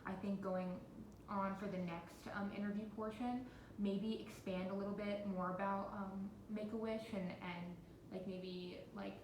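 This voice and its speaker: A woman's voice: flat delivery, measured pace. A woman interviewing and coaching in an encouraging, informal way. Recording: roomy, noisy phone recording with rumble.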